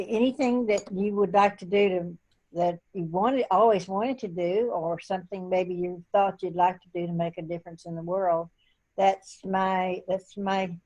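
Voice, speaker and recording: A woman in her eighties on a video call, chatting casually and meandering through her thoughts with frequent pauses. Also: on the low side, mellow, low-quality recording. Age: 80s. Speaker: call participant.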